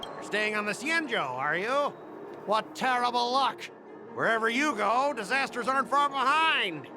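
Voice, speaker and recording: Quarrelsome cargo boss, very high and swooping, game score behind. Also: male voice, expressive, unhurried. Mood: outraged. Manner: indignant, complaining, snappish. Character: quarrelsome male cargo-ship manager, abrasive and petty. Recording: game dialogue over music. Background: game music.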